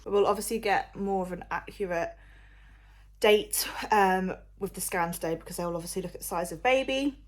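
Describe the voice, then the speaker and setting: A woman's voice: medium pitch, normal speed. A pregnant young woman, rambling casually with lots of fillers as she talks to the camera at home. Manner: chatty, rambling with many fillers. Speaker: vlogger. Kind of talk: casual diary vlog.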